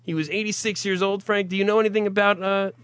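A man's voice: high voice, level tone, quick pace, fairly bright tone, clear, resonant tone, dry recording. A man hosting an internet radio show, teasing and profane in casual comic banter.

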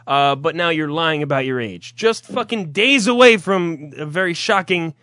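A man's voice: a little high, expressive, moderate pace, sharp tone, dry recording, studio quality. A man hosting an internet radio show, teasing and profane in casual comic banter.

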